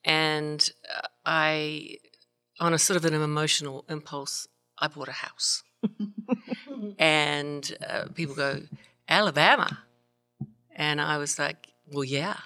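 A chatty woman with a New Zealand accent and a bright, forward tone, telling stories with frequent laughs. She sounds close and dry, as if right on the mic. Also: low-pitched, slow, pauses often, no room sound. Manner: laughs often, chatty and self-amused. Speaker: guest, singer-songwriter. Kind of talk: casual round-table storytelling.

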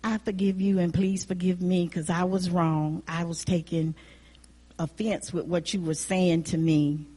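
A woman playing an irritated church singer who is being coached, speaking in sharp, exasperated bursts in a folksy dialect. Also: fairly low pitch, level tone, measured pace, husky. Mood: apologetic. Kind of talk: acted drama scene.

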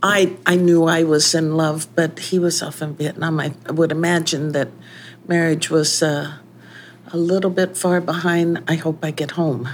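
An older woman telling a lively story about her past in a relaxed interview, often laughing as she talks. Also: low-pitched, moderate pace, slight background noise. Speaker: restaurant owner.

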